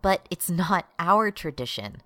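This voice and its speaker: A woman's voice: lowish voice, very animated, unhurried, sharp tone, dry recording, exaggerated voice. A woman acting as an exasperated, righteous progressive activist in a satirical radio drama.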